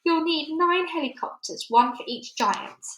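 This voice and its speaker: A storybook Queen, read by a woman, composed and commanding in a fairly high voice with room echo. She is proper, tactful and decisive. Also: animated, measured pace, fairly bright tone, heavily acted.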